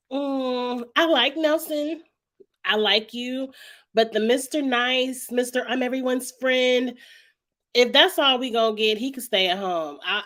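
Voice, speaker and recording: A woman vlog host with a hoarse, raspy, heavy-sounding voice. She chats frankly and casually, greeting viewers by name as she goes and clearing her throat now and then. Her pitch sits in an ordinary middle range for a woman. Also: a bit bright. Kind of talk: casual reality-TV commentary chat.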